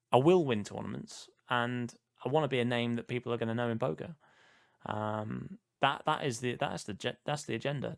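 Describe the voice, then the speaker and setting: British male podcast host, noticeable vocal fry, candid and self-deprecating, chatting through personal anecdotes. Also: mid-range voice. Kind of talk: casual personal storytelling.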